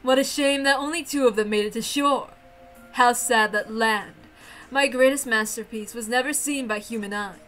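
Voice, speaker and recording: A woman's voice: on the high side, moderate pace, fairly bright tone, faint music behind, natural voice. A woman voicing a smug, playful golden witch in a visual novel read-aloud, composed, theatrical and mocking.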